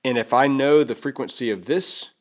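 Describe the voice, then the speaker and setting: Man, medium pitch, casual product chat, video host, chatty, repetitive phrasing. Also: moderate pace. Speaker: host of a gear review video.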